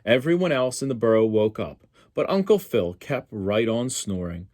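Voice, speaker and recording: A man's voice: on the low side, big pitch swings, normal speed, a little mellow, no room sound, studio quality. A man reading a picture book aloud expressively, as a storyteller for schoolchildren.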